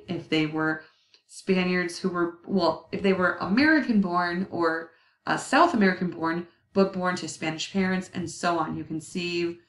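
A woman in her thirties giving a recorded history lecture over slides, chatty and often correcting herself with ums. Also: lowish voice. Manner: conversational, self-correcting, many ums. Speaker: history teacher. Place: indoor room. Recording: roomy, slightly distant webcam audio.

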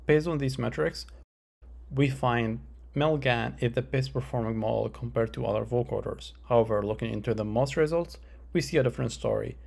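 A man giving a scripted academic presentation as a researcher, in a measured, formal, explanatory way. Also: medium pitch, creaky, a little mellow. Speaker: researcher presenting a conference paper.

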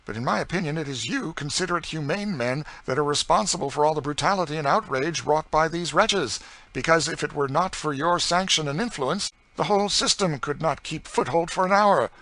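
A man playing an indignant young gentleman. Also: on the high side, fairly quick, pitched above the performer's own voice. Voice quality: emphatic, earnest.

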